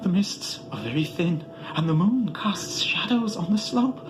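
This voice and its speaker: A man voicing a proud, wild mystic in a grandiose, shrill horror-story declamation, shrieking out incantations. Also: lively intonation, a little slow, noisy background. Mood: exultant. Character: proud shrieking mystic climber, exultant turning to terrified. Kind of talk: theatrical horror storybook reading. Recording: music behind the voice.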